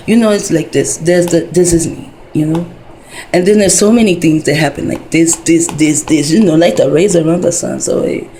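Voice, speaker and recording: A woman's voice: deep voice, mellow, slight background noise, rough recording. A woman in her fifties talking in a rambling casual monologue, wandering between thoughts, full of fillers and profanity. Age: 50s.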